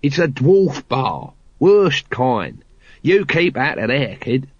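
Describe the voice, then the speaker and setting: A man voicing a cowardly, seedy, low-class veteran watchman in an audiobook, with a muttering, slangy, uneducated grumble. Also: very animated, slow pace, husky, dry recording, dull audio, exaggerated voice. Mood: warning. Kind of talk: audiobook character voice.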